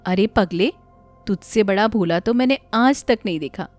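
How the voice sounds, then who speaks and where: A woman voicing a mocking village landlord who scolds and lectures in an animated moral story. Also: mid-range voice, moderate pace, dry recording, soft background music, lightly acted. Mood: teasing, scolding. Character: mocking, admonishing village landlord, older man in authority.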